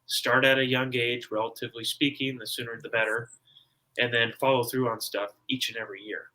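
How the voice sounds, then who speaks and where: A man's voice: mid-range voice, flat delivery, fairly quick, bright, forceful tone, rough recording. An American man talking casually as an interview guest, making his points in an emphatic, repetitive, colloquial way. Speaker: guest author. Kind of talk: casual conversational explaining.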